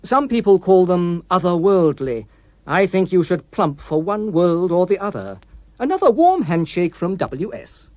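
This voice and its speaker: A man reading an audiobook, voicing an anonymous male postcard writer who is mock-friendly, arch and insinuating, with quiet menace. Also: high voice, sing-song, unhurried, dry recording.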